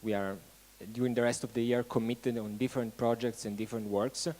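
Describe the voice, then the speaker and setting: A man hosting an event, giving a halting welcome speech to an audience and often restarting his phrases. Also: medium pitch, flat delivery, a little mellow, poor audio. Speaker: event host.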